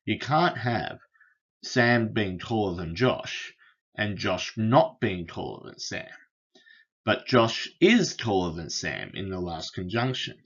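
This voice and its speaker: A man lecturing on philosophy over slides, unhurried, with frequent fillers and restarts. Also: lowish voice, animated, a bit bright, breathy edge, muffled recording. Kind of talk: explaining logic over slides.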